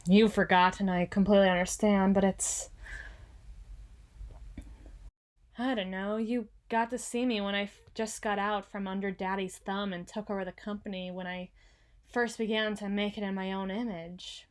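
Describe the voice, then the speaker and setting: A woman playing a frazzled, proud young female CEO in an ASMR roleplay, halting and stammering as she corrects herself. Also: mid-range voice, steady tone, natural voice. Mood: awkward, earnest.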